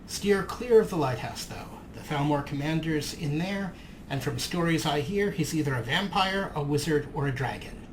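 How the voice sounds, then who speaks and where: A man's voice: fairly high pitch, normal speed, slight background noise, poor audio. A man giving theatrical, dramatic gameplay commentary as he hosts a let's play.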